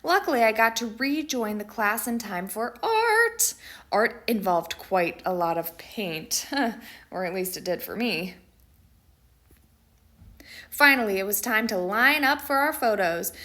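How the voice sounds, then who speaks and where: A mischievous schoolboy played by a woman reader tells his own storybook tale with great drama. The voice is high and very animated, taking its time with emphatic words and long pauses. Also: full character voice. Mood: playful exasperation. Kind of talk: first-person picture book storytelling.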